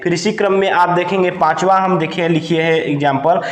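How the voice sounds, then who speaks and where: Male exam coach, high-pitched, explains point by point with repetition, recorded in a reverberant echoey room. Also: steady tone, fairly quick. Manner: repetitive, asks questions and answers them himself. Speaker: teacher of a Hindi exam-prep channel. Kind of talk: lesson explained point by point for note-taking.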